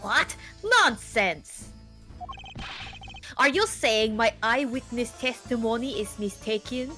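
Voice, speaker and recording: A woman plays an impatient, pompous older gentleman in a game, barking at the accused with a put-on foreign accent that drifts from line to line. The voice sits bright and forceful, lurching between low grumbles and sudden high outbursts. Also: expressive, slow, soft background music, exaggerated voice. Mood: irritated, blustering. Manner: blustering, shifting put-on European accent.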